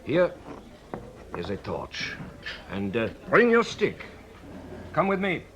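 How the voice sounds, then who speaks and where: An actor playing a shrewd, courteous detective in a radio drama, with a measured, formal, knowing manner. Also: male voice, on the high side, wildly swooping pitch, a bit bright, a little hiss or hum, full character voice. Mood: confiding, measured.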